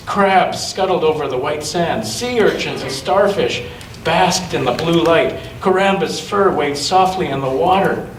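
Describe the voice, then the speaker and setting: A man reading a children's story aloud with expression, in measured phrases and with chatty asides to young listeners. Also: on the high side, slight background noise. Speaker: storyteller at a church gathering. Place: large indoor church room. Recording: roomy church recording, distant mic.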